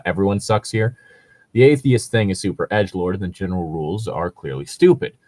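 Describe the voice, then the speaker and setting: A man giving casual, conversational commentary in a halting way, with lots of filler words and some swearing. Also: deep voice, a little mellow, no room sound. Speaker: podcast host reacting to read-aloud Reddit posts.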